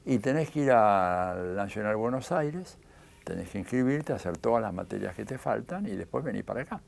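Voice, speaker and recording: A man's voice: lowish voice, animated, moderate pace, creaky, a little mellow. An older man with a Rioplatense Argentine accent, telling his story in an interview and acting out the dialogue he quotes.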